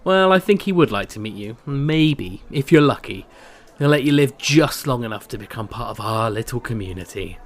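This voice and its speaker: Man playing burly thug guard, gruff, mocking, hostile, mid-pitched voice, audio drama. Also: expressive, normal speed, close to an everyday voice. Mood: mocking, menacing.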